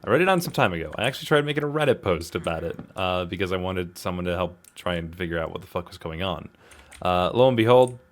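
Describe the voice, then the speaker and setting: A man's voice: low-pitched, expressive, quick pace. A man giving casual gameplay commentary, talking to himself in a slangy, self-deprecating, exclamatory way. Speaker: gaming video host.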